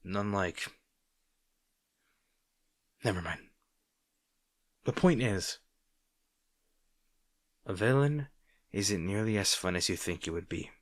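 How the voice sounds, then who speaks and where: A man plays a supervillain rival with a fairly low voice in a very dry recording. He speaks straight to the listener as in a roleplay audio drama. He is wry and sardonic, yet hesitant, insecure and secretly fond of his nemesis. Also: lively intonation, takes it slowly, long pauses, dry recording. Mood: wry, weary.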